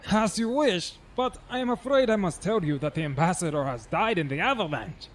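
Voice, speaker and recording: A man's voice: sits high, expressive, fairly quick, a little hiss or hum. An actor playing a suave, menacing older man in an audio drama, speaking with formal, elaborate politeness. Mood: courteous, guarded.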